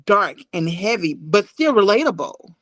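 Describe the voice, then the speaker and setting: A woman in her thirties telling a personal story as an interview guest on a video call, deliberate and emphatic, repeating phrases. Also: medium pitch, very animated, a bit bright, no echo.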